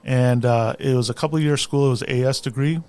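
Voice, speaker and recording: A man's voice: medium pitch, steady tone, fast, a little mellow. A middle-aged man from San Francisco, a guest who tells his career story in an easygoing, reflective way and laughs at himself. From San Francisco, USA. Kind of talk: interview storytelling.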